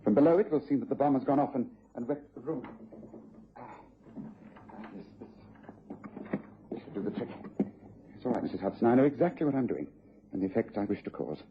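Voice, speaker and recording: An actor playing a brisk, self-assured English gentleman detective in a radio drama, with precise, authoritative delivery. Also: medium pitch, lively intonation, natural voice. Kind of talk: BBC radio drama acting. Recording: dull, noisy vintage radio recording.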